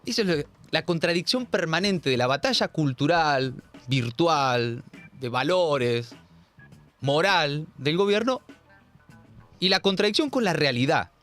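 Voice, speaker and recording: A man's voice: fairly high pitch, expressive, a little slow, fairly bright tone, soft background music. A man with a Rioplatense Argentine accent hosting a political commentary show, talking in an emphatic, sardonic, slangy way. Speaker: host.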